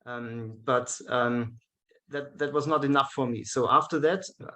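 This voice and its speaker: A man with a German accent, speaking English as his second language in a relaxed interview. His voice sits in an ordinary middle pitch, and his talk comes in halting pieces, full of "um"s and restarts. He is heard over a video call. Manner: hesitant, many fillers and restarts, laughs often. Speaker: guest, event organizer. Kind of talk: casual interview chat.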